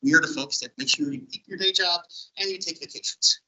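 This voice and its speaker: A man's voice: on the high side, a little fast, pushed and bright. A middle-aged man co-hosting a meetup, talking informally to a group in a chatty, rambling, folksy way on a dull, muffled recording. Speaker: meetup co-host and presenter.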